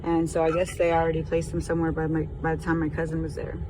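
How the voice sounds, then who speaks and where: A woman speaking hesitantly and haltingly as a caller explaining a situation on a phone call. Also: low-pitched, completely flat delivery. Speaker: caller reporting to sheriff's department. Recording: noisy phone call, car and traffic noise.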